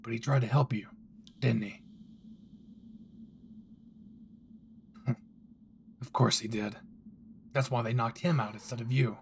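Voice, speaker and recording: Guy doing a gruff cocky young hero, possessive and sweary, audio roleplay, noisy background. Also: medium pitch, steady tone, moderate pace, lightly acted. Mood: dismissive, smug. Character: brash, possessive young male hero, gruff and foul-mouthed. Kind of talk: dramatic audio roleplay acting addressed to the listener.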